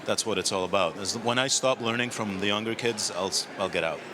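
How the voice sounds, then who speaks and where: A man answering interview questions as an industry guest, relaxed and thinking aloud with ums. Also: fairly low pitch, fairly quick, noisy background. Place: conference studio.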